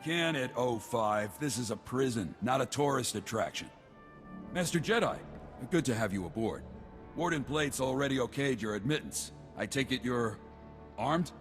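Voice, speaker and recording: An actor playing a gruff, businesslike prison station officer in a video game, blunt and matter-of-fact with a cautioning manner. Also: male voice, medium pitch, lively intonation, normal speed, faint music behind, natural voice. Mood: blunt, wary. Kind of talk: scripted video game dialogue.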